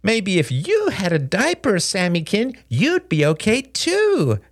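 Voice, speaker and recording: A man's voice: sits high, wildly swooping pitch, fairly quick, creaky, pitched above the performer's own voice, heavily acted. A man playing a mocking older brother, a boy who taunts and sneers with false innocence in a story reading. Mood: taunting.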